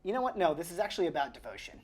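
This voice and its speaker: A man's voice: fairly high pitch, big pitch swings, very fast, a little mellow. A man playing a tabletop roleplaying game, talking casually as he describes his character's moves.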